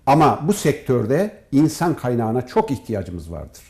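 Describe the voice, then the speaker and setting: A man giving formal, measured interview answers as an academic guest, as if from prepared notes. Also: medium pitch, wildly swooping pitch, warm tone. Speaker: professor and department head, interview guest.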